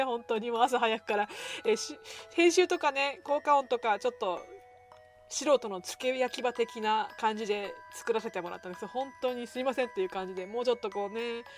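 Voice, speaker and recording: A woman hosting a podcast, chatting politely to listeners in an effusive, courteous and self-effacing way. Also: on the high side. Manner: polite, effusively apologetic and thankful. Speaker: podcast host. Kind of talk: chatty show outro to listeners. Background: music.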